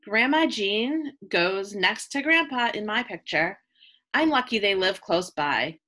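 Bright-voiced woman reading to children. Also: medium pitch, expressive, unhurried. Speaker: teacher reading a picture book aloud. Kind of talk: children's read-aloud, scripted narration.

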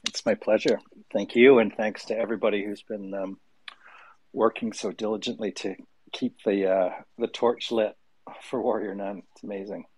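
A man in a remote audio chat, joking with halting fillers, then reading stage directions from a script aloud. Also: lowish voice, steady tone, darkish tone, slightly rough, rough recording. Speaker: showrunner and host reading a script.

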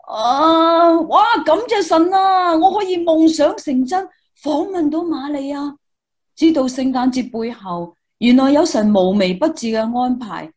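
A woman plays a devout, eager student reporter in a Christmas radio drama. Her voice sits somewhat high, with earnest exclamations and a Hong Kong Cantonese accent. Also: a little slow, darkish tone. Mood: excited. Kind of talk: Christmas radio play dialogue.

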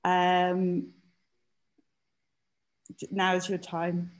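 Woman hosting a video call panel, lowish voice, bit apologetic, informal. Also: completely flat delivery, extremely slow, low-quality recording. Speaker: event host, panel moderator. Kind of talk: informal event moderation. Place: indoor room on a video call.

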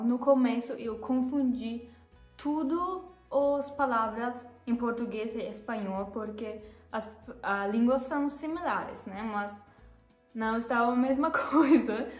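A young woman from Germany speaking with a German accent, who often pauses and corrects herself and laughs at her own mistakes. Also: a little high, echoey room. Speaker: vlogger. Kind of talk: casual vlog talk to camera. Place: living room, seated on a sofa.

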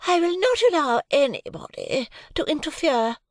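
A woman's voice: sits high, lively intonation, moderate pace, dry recording, studio quality, voice pushed up. A woman voicing a haughty, easily affronted married lady in an audiobook, imperious and indignant. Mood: haughty indignation. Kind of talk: audiobook character acting.

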